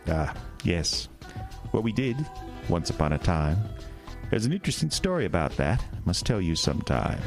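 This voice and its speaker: A sinister carnival showman, low and velvety, swinging his voice up and down with mocking relish. He coaxes and needles in a drawn-out, silky drawl. A music score plays underneath. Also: big pitch swings. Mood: insinuating. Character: sly sinister male carnival owner, smooth and mocking. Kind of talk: horror radio drama acting.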